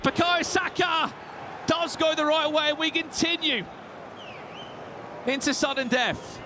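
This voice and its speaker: A man casting an esports penalty shootout, excitable and shouting, his voice going hoarse. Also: extremely high pitch, slow, noisy background. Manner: excitable, shouting at big moments. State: losing his voice. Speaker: esports caster. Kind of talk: play-by-play commentary.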